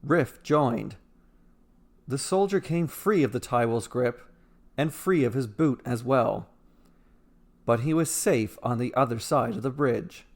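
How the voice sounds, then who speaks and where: A man narrating a scripted fantasy story with measured, dramatic delivery. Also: medium pitch.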